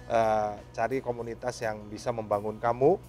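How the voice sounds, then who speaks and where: A man's voice: mid-range voice, little pitch movement, normal speed, a little mellow, faint music behind. A man, a pastor giving conversational religious advice informally, with some English words mixed in. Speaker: pastor answering questions.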